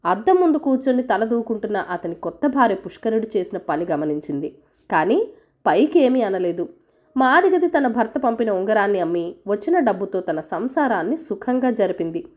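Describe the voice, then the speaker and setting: A woman's voice: medium pitch, very animated, moderate pace, a little mellow. A woman narrating a scripted folk tale for children as a storyteller.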